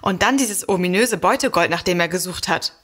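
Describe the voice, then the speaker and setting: A woman's voice: on the low side, brisk, a bit bright. An actress playing a chatty, mildly scornful young woman author in a comedy mystery audio drama. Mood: scornful, relieved.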